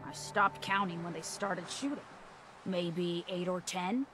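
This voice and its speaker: An actress voicing a brisk, businesslike young scavenger woman in a video game, matter-of-fact and streetwise. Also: lowish voice, over music, lightly acted.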